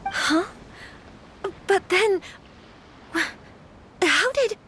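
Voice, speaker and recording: A woman playing a gentle, earnest young noblewoman in a video game, speaking formally and hesitantly. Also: extremely high pitch, animated, extremely slow, slight background noise, full character voice. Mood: surprised, unsure. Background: faint music.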